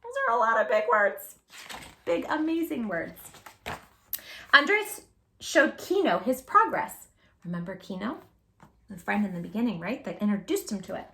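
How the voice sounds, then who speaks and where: A woman hosting a children's read-aloud, expressive and encouraging, emphasising words and chatting directly to young listeners. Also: medium pitch, normal speed, vocal fry, pauses often. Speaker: storybook reader, channel host.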